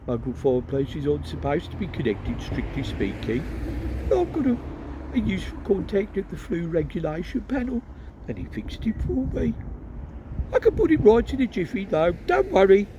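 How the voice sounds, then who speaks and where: A man doing a stammering, excitable wizard dad. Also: high voice, moderate pace, dull audio, noisy background, pitched above the performer's own voice. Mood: apologetic. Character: flustered, enthusiastic middle-aged wizard father.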